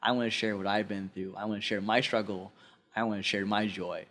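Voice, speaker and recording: A man's voice: on the low side, steady tone, a little fast, a bit bright. A man, a librarian, speaking earnestly in a podcast interview and repeating phrases for emphasis.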